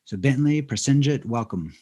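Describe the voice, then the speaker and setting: A man moderating an academic conversation, introducing guests and asking questions in a formal, measured way. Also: mid-range voice, animated, warm tone, breathy edge. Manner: measured, formal, some fillers.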